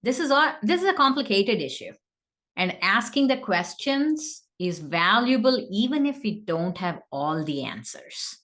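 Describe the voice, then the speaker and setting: A woman's voice: medium pitch, big pitch swings, a little slow, fairly bright tone. A woman co-hosting a podcast, introducing the guest in an earnest, encouraging manner. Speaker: podcast co-host. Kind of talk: partly scripted podcast introduction.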